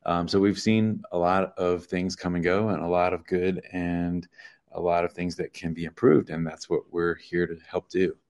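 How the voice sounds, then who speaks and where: A man who lives in the neighborhood, introducing himself on a call in a calm, measured way. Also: sits low. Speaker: neighborhood resident.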